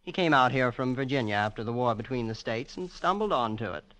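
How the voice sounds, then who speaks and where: A man's voice: mid-range voice, animated, brisk, a bit bright, close to an everyday voice, poor audio. An actor playing a casual, nonchalant young rich man in a 1940s radio drama. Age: young adult. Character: nonchalant young heir to a secret fortune.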